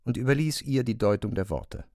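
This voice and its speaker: A man's voice: medium pitch, animated, fairly quick, warm tone, no room sound. A man narrating literary fiction from a script for an audio drama.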